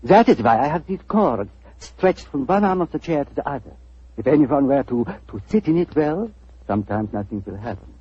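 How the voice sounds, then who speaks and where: An actor playing a fussy, nervous old antique dealer with a German accent, stammering in broken English, in 1940s radio acting. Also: male voice, mid-range voice, expressive, normal speed, dark tone, husky, dull audio. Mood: proud. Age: older.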